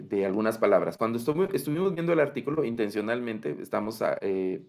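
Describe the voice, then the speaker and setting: Latin American male teacher, low register, gravelly texture, patient explainer who checks in often. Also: darkish tone. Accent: Latin American Spanish. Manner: patient, explanatory, frequent "¿verdad?" check-ins. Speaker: Bible language teacher. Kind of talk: online class lecture.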